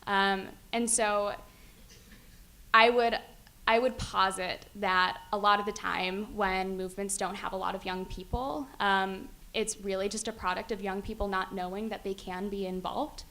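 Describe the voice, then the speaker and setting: A woman's voice: mid-range voice, fairly even intonation, measured pace, bright, forceful tone. Young woman panelist, thoughtful with ums. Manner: thoughtful, many ums, laughs easily. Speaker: youth activist panelist. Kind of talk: panel discussion answer. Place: conference hall stage. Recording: faint background noise.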